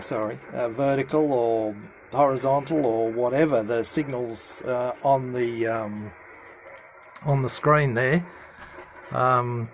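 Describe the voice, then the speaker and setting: Halting man in a noisy recording. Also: mid-range voice. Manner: hesitant, halting delivery with many pauses. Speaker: amateur CB radio hobbyist presenter. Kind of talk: unscripted hands-on demonstration. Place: indoor room at home with radio gear.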